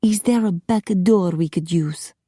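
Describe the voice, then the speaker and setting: A woman's voice: fairly low pitch, a little slow, dark tone, slightly rough, dry recording, studio quality. A woman voicing an uneasy, polite, reluctant man in an audiobook, restrained and apologetic.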